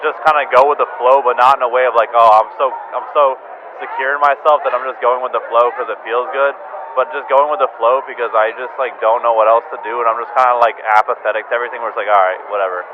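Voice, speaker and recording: A low-energy, downcast man rambling through a confessional voicemail, halting and repeating himself, casual and prone to swearing. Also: fairly even intonation. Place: bar, sitting alone. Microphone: phone voicemail recording. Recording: muffled sound, loud bar noise. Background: loud bar ambience.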